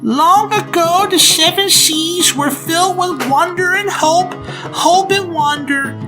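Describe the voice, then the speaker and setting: A woman's voice: very high-pitched, takes it slowly. A woman playing a solemn, hopeful storyteller narrating a fairy tale in a cartoon comic dub, over music. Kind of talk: scripted dramatic narration. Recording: music behind the voice.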